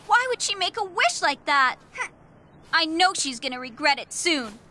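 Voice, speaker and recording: A woman voicing a spirited, stubborn young witch girl in a cartoon, expressive and emphatic. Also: high voice, normal speed, exaggerated voice. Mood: sad, sulky. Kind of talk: animated cartoon voice acting, English dub. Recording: loud music behind the voice. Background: loud cartoon score.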